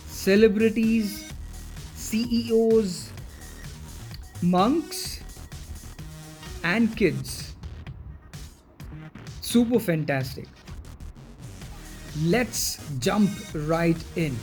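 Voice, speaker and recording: Slow, high-voiced enthusiastic male host. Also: a little mellow. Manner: enthusiastic, short punchy phrases. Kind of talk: upbeat show intro and interview.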